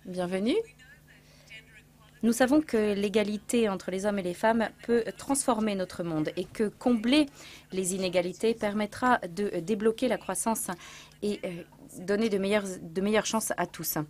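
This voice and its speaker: A woman's voice: mid-range voice, a little mellow. Woman giving a formal podium speech. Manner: measured, formal, read from notes. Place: large conference hall, at a lectern. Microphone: lectern microphones.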